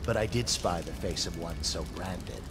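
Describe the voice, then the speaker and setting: Casting: male actor for stern order guard in a game, deep, formal, buried in loud ambience. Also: normal speed, very noisy, lightly acted. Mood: matter-of-fact. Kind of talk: video game background dialogue.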